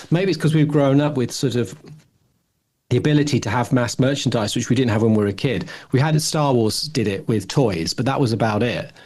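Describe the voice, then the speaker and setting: A man's voice: mid-range voice, a little mellow. A middle-aged man talks as a podcast co-host in loose, casual conversation. He thinks aloud, hedging and circling around his points in a rambling, reflective manner. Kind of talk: casual chat.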